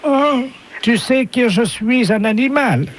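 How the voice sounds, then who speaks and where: A man's voice: extremely high pitch, animated, pitched above the performer's own voice, full character voice. A man voicing a plaintive, lovesick dolphin in a hesitant, earnest way on a prank phone call. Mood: timid, curious. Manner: slow, plaintive, hesitant declarations. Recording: phone prank recording with faint noise.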